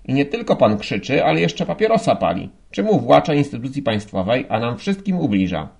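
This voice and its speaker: A man's voice: mid-range voice, big pitch swings, brisk, gravelly, darkish tone, breathy edge, voice pushed up, heavily acted. A man voicing an irritated, defiant young student protesting indignantly in an audiobook. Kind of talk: audiobook character acting.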